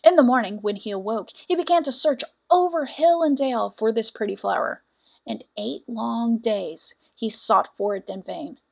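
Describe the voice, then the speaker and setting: Female storyteller, fairly high-pitched, for scripted fairy tale narration with deliberate, dramatic delivery. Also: gravelly, a little mellow.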